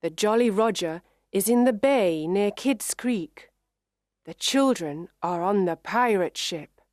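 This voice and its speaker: A woman reads a children's story for learners. Her pace is slow and deliberate, each word carefully enunciated, in a medium pitch with a very dry sound. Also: lively intonation, a little mellow, no room sound. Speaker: narrator. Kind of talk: scripted children's story narration for English learners.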